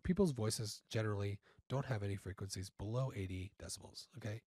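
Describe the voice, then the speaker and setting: A man informally instructing step by step in a software tutorial, halting and often checking in, on a close mic. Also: fairly low pitch, breathy edge. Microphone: close studio mic through audio interface.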